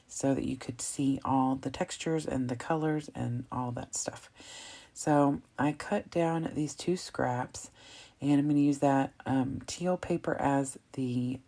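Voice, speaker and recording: A woman hosting a scrapbooking video, talking through her process in a chatty, rambling way with a slightly hoarse, froggy throat. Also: a bass voice, warm tone. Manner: chatty, rambling, with frequent ums and self-corrections. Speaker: craft video host. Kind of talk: casual narration of a scrapbooking process.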